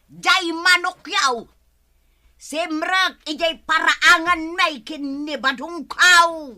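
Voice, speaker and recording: A woman's voice: extremely high pitch, slow, exaggerated voice. An actress playing a quarrelsome older village woman who scolds and nags in an Ilocano Filipino accent, in a radio comedy drama. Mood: irritated scolding. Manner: scolding, loud, nagging.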